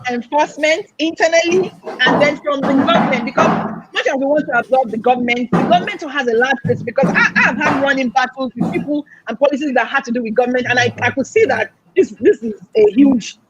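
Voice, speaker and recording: Female panelist, Nigerian accent, high-pitched and earnest, captured on a lo-fi call with noticeable noise. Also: fast, few pauses. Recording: dull online call with clear noise.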